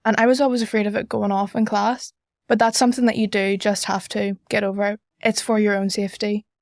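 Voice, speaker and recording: A woman's voice: mid-range voice, flat delivery, a little fast, darkish tone, no room sound, studio quality. A young woman with a Northern Irish accent chatting as an interview guest. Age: young adult. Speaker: student society member, podcast guest.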